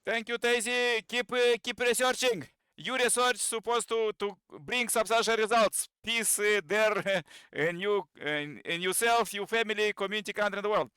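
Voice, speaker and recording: A man speaking non-native English, hosting an informal online meeting with halting, broken phrasing. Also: high voice, sharp tone, smooth, dry recording. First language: not English. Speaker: presenter and host of an online peace training. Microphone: video call microphone.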